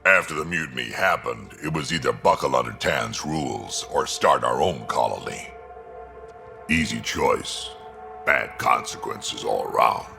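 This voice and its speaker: Rumbling, gravelly old alien grandfather. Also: lively intonation, a little slow, over music, exaggerated voice. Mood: resolute. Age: older. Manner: blunt, wry. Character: gruff old alien grandfather, scrappy colony veteran. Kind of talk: video game dialogue acting.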